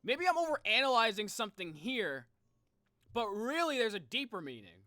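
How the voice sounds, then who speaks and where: Bright, lively reactor with animated swings. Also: mid-range voice, moderate pace, no room sound, studio quality. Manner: excitable, rambling, slangy. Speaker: YouTube reactor commenting on game lore. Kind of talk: casual reaction commentary.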